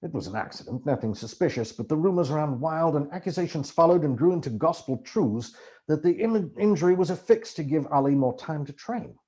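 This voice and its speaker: A man reading a book aloud as expressive, theatrical first-person narration. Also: on the high side, a little fast. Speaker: amateur audiobook reader.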